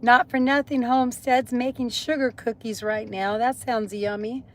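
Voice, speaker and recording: A woman's voice: on the high side, steady tone, moderate pace. A woman hosting a live stream, chatting casually and speaking directly to her viewers.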